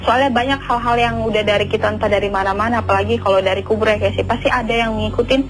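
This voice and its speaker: A woman's voice: medium pitch, steady tone, quick pace, low-quality recording. A woman from Medan with an Indonesian accent, calling into a radio show and telling a family story earnestly over the phone. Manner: earnest, repetitive, often addresses the host. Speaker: caller. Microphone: phone line. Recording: radio phone-in with music underneath.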